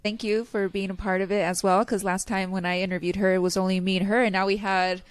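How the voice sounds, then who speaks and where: A woman hosting a podcast in casual chat, quick and chatty with frequent laughs, a little stuffy today. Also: medium pitch, flat delivery, clean tone, dry recording. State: slightly stuffy nose. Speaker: podcast host.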